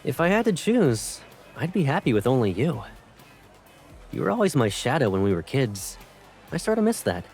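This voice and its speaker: A man's voice: mid-range voice, big pitch swings, normal speed, long pauses, darkish tone. A man voicing a teasing, devoted young captain and sweetheart in a romantic game fan dub. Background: music.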